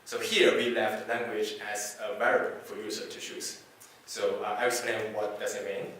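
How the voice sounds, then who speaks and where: A man's voice: lowish voice, flat delivery, fairly bright tone. Chinese-native man giving a talk in an echoey hall. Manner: halting, many fillers and restarts. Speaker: conference speaker. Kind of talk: technical talk. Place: meetup presentation room. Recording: echoey hall from far off, faint hiss.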